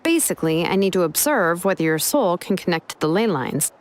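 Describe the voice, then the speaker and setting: An actress voicing a calm, dry and reassuring expert craftswoman in a video game, speaking in a measured way. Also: fairly low pitch, a little hiss or hum.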